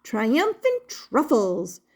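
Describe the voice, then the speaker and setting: A woman's voice: fairly high pitch, wildly swooping pitch, extremely slow, a little mellow, husky, heavily acted. A woman voicing a proud, busy little male baker in a storybook, exclaiming and boastful.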